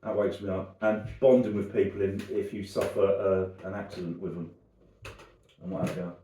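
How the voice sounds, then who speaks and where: A low-pitched man with a dark, mellow voice, talking in a loose, joking way. He sounds set back from the microphone in an echoey room. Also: level tone, normal speed, distant mic, slight background noise. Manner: rambling, jokey, punning. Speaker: podcast co-host. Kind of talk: casual chat. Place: dining room.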